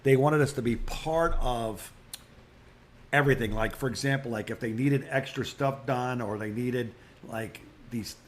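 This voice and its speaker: A man's voice: mid-range voice, unhurried. A man in his sixties chatting casually as a podcast co-host, telling anecdotes in a rambling way with repeated phrases and restarts. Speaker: podcast co-host, retired radio broadcaster.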